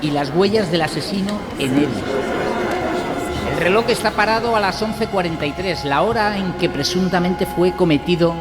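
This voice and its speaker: A man's voice: high-pitched, moderate pace, in a large hall, lightly acted. A man playing a determined prosecutor, speaking formally and declaratively in a courtroom audio drama. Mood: resolute, formal. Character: determined, formal male prosecutor addressing a judge. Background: loud soundtrack music.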